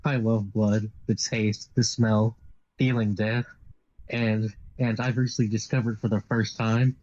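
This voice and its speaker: A man's voice: on the low side, flat delivery, a little slow, a little mellow. A man in a live amateur fandub voicing a cold, arrogant, bloodthirsty anime fiend on a dull home mic. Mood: arrogant, musing. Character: cold, bloodthirsty, grudging fiend who loves a cat.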